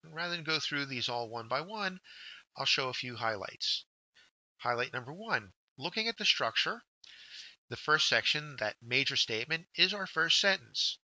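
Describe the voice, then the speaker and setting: A man's voice: medium pitch, lively intonation, normal speed, pushed and bright, no room sound, poor audio. A man giving scripted instructional narration as a workshop instructor, guiding learners step by step and addressing them directly.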